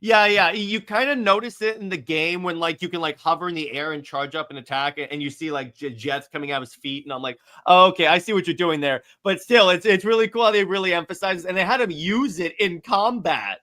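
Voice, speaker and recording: A man's voice: sits high, brisk, few pauses, bright, forceful tone. A man co-hosting a casual fan podcast, speaking enthusiastically and agreeably, often repeating himself as he goes. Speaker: podcast co-host.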